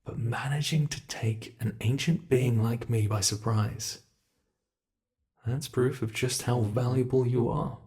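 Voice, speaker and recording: A British man voicing an ancient male monster in an ASMR horror roleplay, speaking with soft, mockingly polite menace. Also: mid-range voice, fairly even intonation, close to an everyday voice. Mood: possessive menace. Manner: slow, soft-spoken, teasing menace.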